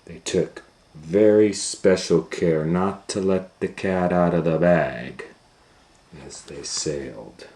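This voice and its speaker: A man hosting storytime, reading a children's story aloud in a theatrical way with dramatic pauses and swells. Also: low-pitched, darkish tone. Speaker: storytime reader and host. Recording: roomy, echoing sound.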